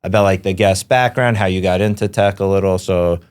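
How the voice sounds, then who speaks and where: Male podcast host, low voice; easygoing, chatty delivery with filler words. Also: steady tone, fairly quick, darkish tone, smooth. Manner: conversational, fillers like "like" and "right?". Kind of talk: casual interview conversation.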